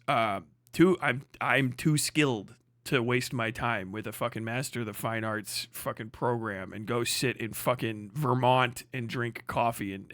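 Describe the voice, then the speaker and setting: A man's voice: mid-range voice, a bit bright, no room sound, studio quality. A man livestreaming mocking, profanity-heavy reaction commentary as host, in a crude, exclamatory riffing manner. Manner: often breaks into laughter at own riffs.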